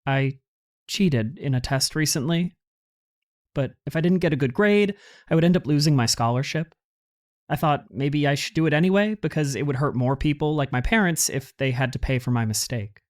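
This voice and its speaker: A man's voice: a little high, normal speed, lightly acted. Hesitant young student confessing, played by a man. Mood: guilty, hesitant. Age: young adult. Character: anxious, guilt-ridden university student making confession. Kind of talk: audio drama acting.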